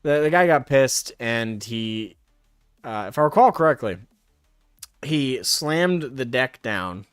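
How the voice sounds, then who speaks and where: A man's voice: medium pitch, expressive, slow, clean tone, dry recording, studio quality, faint music behind. A man streaming casually, reading card text aloud and drifting into rambling personal stories. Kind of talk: casual live stream chat.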